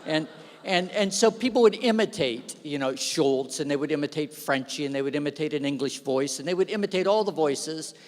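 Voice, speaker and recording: A man giving a humorous, self-deprecating speech at an awards ceremony, telling stories with lively asides into a stage microphone. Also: on the high side, a little fast. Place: large event hall. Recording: long reverb of a large hall.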